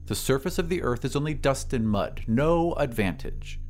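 A man plays an aloof mother in a futuristic radio play. Her pitch swings widely as she speaks, prim and controlled, dismissing ideas she dislikes. Music plays underneath. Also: animated, normal speed, darkish tone, natural voice. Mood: controlled disapproval. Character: irritable, shocked, aloof mother in a futuristic society. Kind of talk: audio drama character dialogue.

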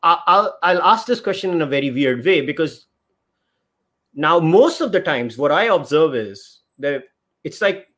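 A man's voice: medium pitch, fairly bright tone. A man with an Indian accent hosting a conversational podcast interview, circling around his questions in a rambling way. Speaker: podcast host.

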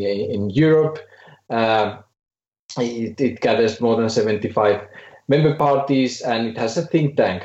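A man from Finland with a Finnish accent, a think tank director speaking as a podcast guest in a halting way, with many fillers and restarts. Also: medium pitch, a little mellow, reverberant, lo-fi sound. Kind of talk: podcast interview.

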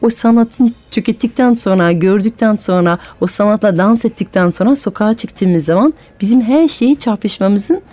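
A woman's voice: medium pitch, normal speed, hardly any pauses, dark tone. Woman hosting a radio show. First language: French. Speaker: radio host and storyteller. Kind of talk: radio interview. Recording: music bed with long hall reverb.

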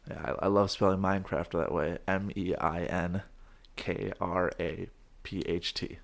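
A young man hosting a casual podcast, his voice hoarse from shouting, talking in a goofy, rambling way with frequent restarts. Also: low voice, fairly even intonation, unhurried. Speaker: podcast host. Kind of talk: casual chat.

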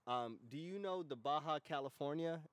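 A man's voice: a little high, moderate pace, no echo. An American man hosting a casual comedy podcast, chatting in a slangy, profane, irreverent way. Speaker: podcast host.

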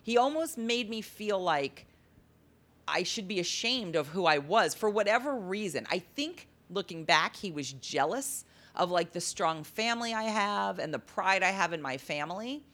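A woman with a low, deep-timbred voice, telling stories in a casual interview and acting out the dialogue. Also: normal speed. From Las Vegas. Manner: animated, acts out quoted dialogue. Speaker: comedian guest.

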